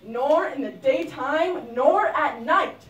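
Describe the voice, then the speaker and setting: High-pitched, imperious demon king. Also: lively intonation, slow, a bit bright, muffled recording, a little hiss or hum, exaggerated voice. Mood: demanding. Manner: declamatory, formal pleading. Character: demon king begging a god for immortality, demanding, imperious. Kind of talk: puppet show storytelling, theatrical. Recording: roomy echo, distant microphone.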